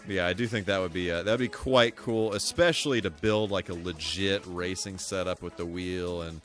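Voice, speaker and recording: Male game streamer, low register, loose rambling thinking-aloud chat over background music. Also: fairly even intonation, moderate pace, a bit bright. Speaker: video game live streamer. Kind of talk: casual live gameplay commentary and chat. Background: music behind the voice.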